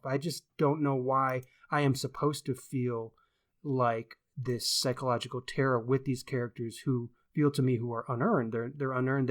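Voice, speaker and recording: A man with a mid-pitched voice talks through books as a podcast co-host. He is opinionated and emphatic, often doubling a word to press it home. The style is casual and conversational. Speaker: podcast co-host and book reviewer. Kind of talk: casual book discussion.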